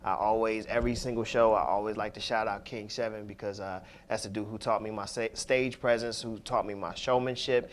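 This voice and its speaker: A man hosting a radio show in casual talk, loose and full of "you know" fillers as he gives shout-outs. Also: lowish voice, steady tone, fairly quick, hardly any pauses. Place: radio studio.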